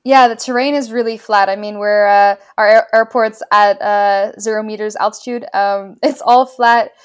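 A woman's voice: medium pitch, steady tone, normal speed, clean tone. A young woman from Tanzania, a pilot guest answering interview questions enthusiastically with many fillers and restarts. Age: young adult.

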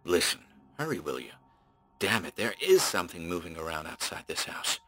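A man voicing a terrified male radio announcer chained in a house, talking in frantic, pleading bursts that turn shrill. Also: sing-song, normal speed, fairly bright tone, slightly rough, heavily acted. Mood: edgy. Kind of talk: audiobook character voice.

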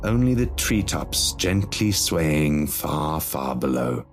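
A man's voice: extremely low pitch, very animated, slow, soft background music. A man reading a scripted horror story as its narrator.

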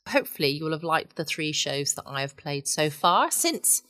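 Low-voiced, bright English woman podcast host. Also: very animated, moderate pace, no room sound. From United Kingdom. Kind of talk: chatty late-night podcast presenting. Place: at home, close dry sound.

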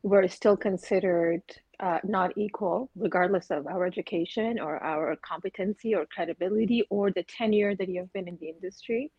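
A woman from Afghanistan speaking with earnest conviction on a casual podcast, her voice husky and a little breathy. The sound is dry and slightly muffled, with little room around it. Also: mid-range voice, normal speed, dry recording. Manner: earnest, opinionated, spiritual talk. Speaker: podcast co-host.

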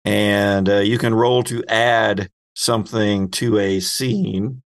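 Low-pitched man running a tabletop game. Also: fairly even intonation, slow. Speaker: game master. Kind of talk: casual tabletop game narration.

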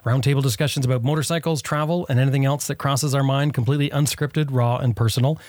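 A man's voice: mid-range voice, steady tone, quick pace, darkish tone, no room sound. A Canadian man hosting a casual roundtable podcast, introducing guests with a teasing, friendly manner. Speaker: podcast host. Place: home studio.

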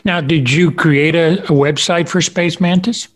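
A man's voice: on the high side, fairly even intonation, unhurried. A man hosting a podcast interview in an informal, affable and encouraging conversational way. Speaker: podcast host.

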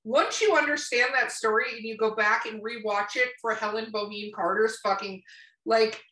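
A woman podcast host with a high, bright voice, heard a little way from the mic in a roomy echo. She chats casually and bluntly, leaning hard on her opinions and swearing freely. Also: far from the mic.

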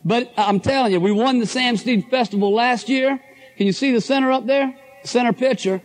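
A middle-aged man with a hoarse voice, giving an enthusiastic, folksy motivational talk to a live audience. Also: very high voice, normal speed, low-quality recording. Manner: folksy, enthusiastic, addresses crowd as guys and folks. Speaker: motivational speaker at a business convention.

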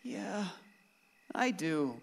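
A man's voice: high-pitched, animated, very slow, vocal fry, long reverb tail, full character voice. An actor playing an embittered, defiant, grief-stricken father in a radio play, speaking with bitter force. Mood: defiant anger.

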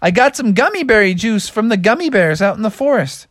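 A man voicing an excitable, brave young frog hero from a children's story, with a bouncy, exclamatory delivery. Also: high-pitched, a little fast, dry recording, voice pushed up, full character voice. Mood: upbeat.

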